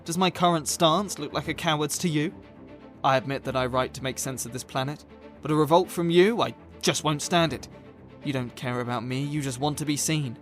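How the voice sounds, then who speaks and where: An actor playing a troubled author who stands up for himself, speaking earnest, declamatory rhyming lines in an audio drama. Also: male voice, fairly high pitch, fairly quick, faint music behind, natural voice. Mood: defiant. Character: troubled male author standing up to his characters.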